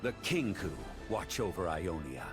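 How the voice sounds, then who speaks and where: An actor playing a calm, honorable ninja guardian in a game, solemn and formal. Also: male voice, deep voice, measured pace, over music. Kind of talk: scripted game character voice lines.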